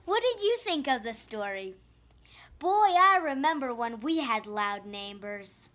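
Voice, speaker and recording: A woman reading a children's picture book aloud in an expressive, emphatic way. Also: a little high, measured pace, dry recording. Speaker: storybook reader.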